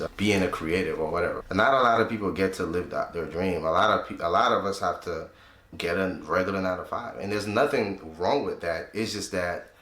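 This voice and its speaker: A man's voice: low voice, fairly bright tone, reverberant. A man in his twenties talking loosely and reflectively in a casual vlog, often tagging his points with "right?". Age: 20s. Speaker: vlogger. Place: room he uses as a home studio.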